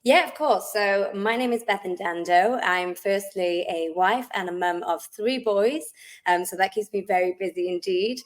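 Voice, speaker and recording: A woman's voice: medium pitch, moderate pace. A woman from South Wales with a Welsh accent, a guest in a casual interview, talking in a friendly, chatty way with frequent ums. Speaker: interview guest, wellness business founder.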